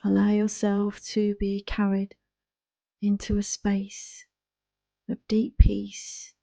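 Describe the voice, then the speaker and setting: A woman guiding a yoga nidra relaxation in a soothing, hushed, unhurried manner, close to the microphone. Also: mid-range voice, completely flat delivery, gravelly, no echo. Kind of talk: guided relaxation meditation.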